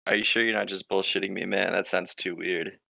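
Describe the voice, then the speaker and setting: Male tabletop player, low fry-laden voice with a forceful edge, casual and hesitant, dry sound. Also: level tone, fairly quick, dry recording. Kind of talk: casual in-character tabletop roleplay chat.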